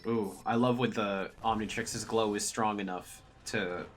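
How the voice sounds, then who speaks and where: A man's voice: fairly low pitch, fairly even intonation, a little slow, faint music behind. A man giving casual reaction commentary as a reviewer, conversational and thinking aloud as he watches. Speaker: host, cartoon reviewer.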